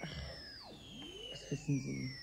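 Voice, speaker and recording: A woman's voice: very deep voice, wildly swooping pitch, slow pace, vocal fry, background noise, full character voice. An actress playing a guarded, reproachful woman in a German science-fiction radio play, terse and cold. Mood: cold, offended.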